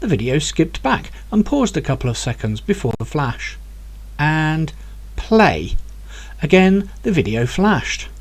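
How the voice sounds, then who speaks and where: A man reading his own novel aloud as an audiobook, with clear, expressive storytelling. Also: fairly high pitch, a little slow. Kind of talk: audiobook narration.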